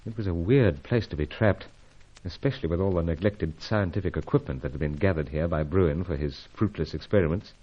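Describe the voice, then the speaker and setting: Suave low-voiced agent hero. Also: male voice, fairly quick, slightly rough. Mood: grave narrating. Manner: calm, gallant, clipped, reassuring. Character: suave, steady British secret agent hero, adult man. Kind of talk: old-time radio adventure serial acting and narration. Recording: dull, lo-fi old radio recording.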